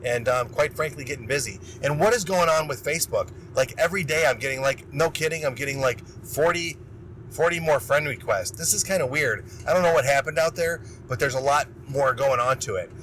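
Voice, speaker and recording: Hoarse-voiced man hosting a motivational podcast. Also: mid-range voice, brisk, slight background noise, poor audio. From Illinois. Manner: energetic, rambling, self-interrupting. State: losing his voice. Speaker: podcast host. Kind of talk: casual motivational monologue.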